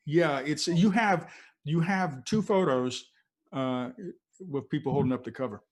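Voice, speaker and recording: A man's voice: fairly high pitch, a little slow. An older man, a veteran radio DJ, talking casually and reminiscing in an informal, conversational way as an interview guest. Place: video call from a cluttered room.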